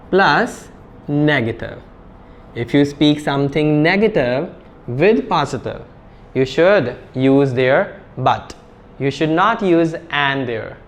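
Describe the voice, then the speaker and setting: A man with an Indian English accent teaching an IELTS grammar lesson at a whiteboard, explaining step by step and repeating key words. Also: fairly high pitch.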